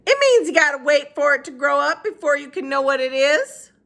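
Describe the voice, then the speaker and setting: A woman voicing a kindly, wise old woman with poor eyesight and a drawling Southern accent, pitched high and loud. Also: animated, brisk, creaky, heavily acted. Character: old woman with bad eyes, warm and wise.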